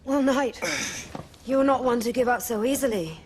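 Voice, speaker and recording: A woman playing a composed, commanding Victorian noblewoman in a dramatic video game, with measured, formal, authoritative speech. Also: on the high side, noisy background, close to an everyday voice. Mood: composed, guiding.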